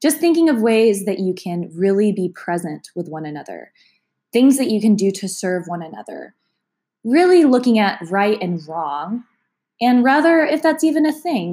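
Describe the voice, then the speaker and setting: A woman's voice: medium pitch, big pitch swings, moderate pace. A woman with a cold, sounding congested, giving a casual, chatty astrology forecast to her viewers and laughing now and then. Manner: chatty, gentle, laughs between sentences. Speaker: astrology vlogger. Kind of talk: casual talk to camera.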